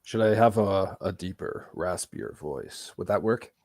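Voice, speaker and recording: A man putting on a deep, raspy crooner voice as a joke, in the style of a late-night hotline. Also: expressive, measured pace, a little mellow, heavily acted. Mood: sultry.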